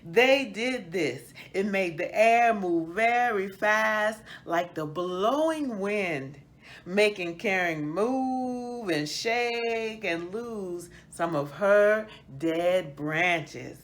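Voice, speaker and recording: A woman reading a children's book aloud as host, in an expressive, emphatic storytelling style. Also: mid-range voice, very slow.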